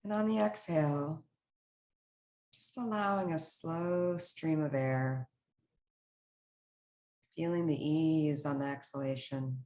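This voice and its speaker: A woman leading a guided meditation, speaking in short, soothing, instructional phrases with unhurried pauses. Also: sits low, steady tone, dark tone, slight background noise.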